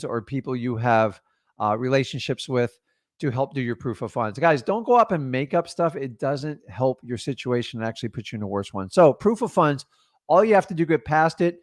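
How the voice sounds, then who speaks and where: A man's voice: mid-range voice, dry recording, clean studio sound. A middle-aged man coaching on real estate deals, talking in an emphatic, motivational, direct way. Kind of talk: energetic coaching talk.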